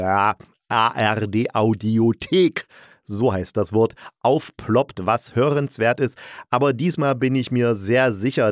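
A man's voice: fairly low pitch, no room sound, studio quality. A man giving a casual, colloquial spoken review as a podcast host, enthusiastic and fond of emphatic repetition.

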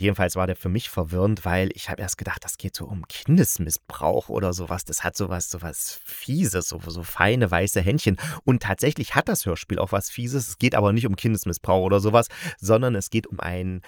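A man's voice: deep voice, a little fast, no echo, studio quality. A man, a podcast host casually reviewing radio plays in a rambling, playful, colloquial way.

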